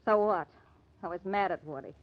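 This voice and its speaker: A woman's voice: fairly low pitch, a little fast, close to an everyday voice. A woman acting a defensive, jittery suspect in an old-time radio crime drama, protesting as she talks. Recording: dull vintage radio recording.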